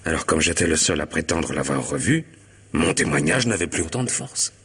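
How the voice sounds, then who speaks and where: A tormented man at the end of his nerves in a French radio mystery. His voice is low and husky. He broods, stumbles and repeats himself, bitter and hunted. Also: very animated, fairly bright tone, exaggerated voice. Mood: agitated. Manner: halting, repeats words, brooding. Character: anguished, disillusioned man who feels hunted and driven mad.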